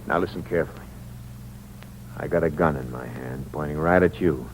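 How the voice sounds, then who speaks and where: A deep-voiced noir killer. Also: male voice, moderate pace. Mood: threatening. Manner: tough slangy talk, clipped and menacing. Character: hard-boiled male con man and killer, cold. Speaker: narrator and lead character. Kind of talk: 1950s radio drama, first-person noir narration. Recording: dull 1950s radio broadcast with hum.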